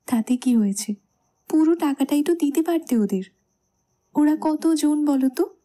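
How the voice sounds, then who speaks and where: Romantic audio drama: actress as loving young bride, high, mellow voice, shy and sweet, over music. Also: female voice, animated, unhurried. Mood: gently chiding. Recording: music bed under the voice.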